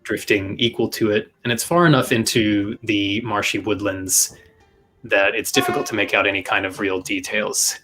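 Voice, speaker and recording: A man improvising tabletop game narration as game master, telling the scene in a halting, descriptive way. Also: lowish voice, steady tone, bright, forceful tone. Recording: music behind the voice. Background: music.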